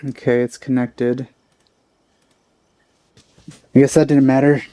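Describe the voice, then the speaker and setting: A man's voice: medium pitch, flat delivery, slow pace, mellow. A man giving casual, off-the-cuff commentary as he plays a video game. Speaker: let's player.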